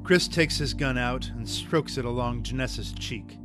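A serious narrator, played by a man, tells an action story in measured scripted narration. The voice is mid-pitched, with music clearly playing behind it. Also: close to an everyday voice. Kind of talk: scripted audio drama narration.

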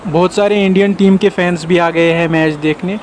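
A man's voice: high voice, fairly even intonation, normal speed. A young man giving a casual walking-tour narration as a vlogger, filmed outdoors on a handheld phone. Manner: walking tour commentary, pointing things out. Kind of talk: casual travel vlog narration. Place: outdoors, metro station and stadium. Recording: loud street and crowd noise.

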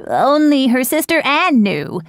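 A woman's voice: high voice, sing-song, measured pace, dry recording, studio quality, exaggerated voice. A woman voicing an outspoken, gossipy older widow who talks in a blunt, chatty way.

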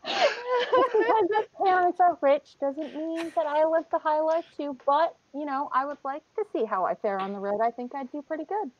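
A woman's voice: sits high, lively intonation, moderate pace, a little hiss or hum. A woman playing a young cleric in a tabletop game, with a dry, exasperated manner. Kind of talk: in-character roleplay. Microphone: video call. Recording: lo-fi video call audio.

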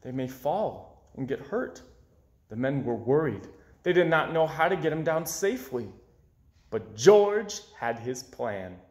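Animated young man reading a storybook. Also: medium pitch, unhurried. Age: 20s. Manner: dramatic, storytelling emphasis. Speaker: storybook reader. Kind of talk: expressive children's read-aloud narration. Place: small indoor room with bookshelves. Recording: roomy indoor echo.

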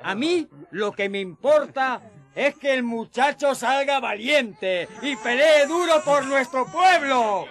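A man's voice: extremely high pitch, expressive, a bit bright, heavily acted. A man playing a proud new father, an earnest working man, in a radio drama. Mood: earnest resolve. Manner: earnest, deliberate.

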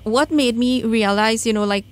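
A woman with a Trinidadian accent speaks in a fairly high voice. She talks conversationally about herself, as a guest at a radio studio microphone. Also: moderate pace. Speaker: radio guest, spiritual medium. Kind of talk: conversational interview, personal storytelling.

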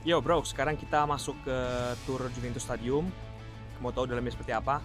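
A man hosts a casual travel vlog with loud music running under his voice. He talks in an upbeat, slangy way to his viewers as if they were friends, at a middling pitch, into a phone held out in front of him. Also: fairly even intonation, brisk. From Indonesia. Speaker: travel vlogger and host. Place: museum, stadium stands and changing room. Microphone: phone held at arm's length.

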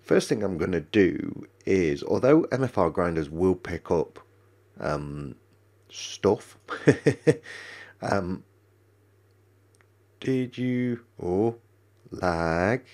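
A man's voice: low voice, lively intonation, extremely slow, long pauses, darkish tone. A man with a cold and a stuffy nose, rambling through casual gameplay commentary with many ums and restarts. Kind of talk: casual let's-play commentary.

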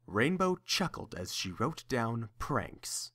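A man's voice: mid-range voice, big pitch swings, takes it slowly, sharp tone. A man narrating a scripted story.

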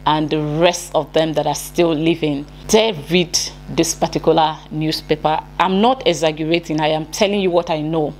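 A woman's voice: deep voice, fairly even intonation, moderate pace, few pauses, darkish tone, slight background noise. A woman with a Nigerian accent talking to camera in an emphatic, defiant vlogging style, often repeating herself for weight. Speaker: YouTuber. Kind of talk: opinionated talking-head vlog. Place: indoor room at home.

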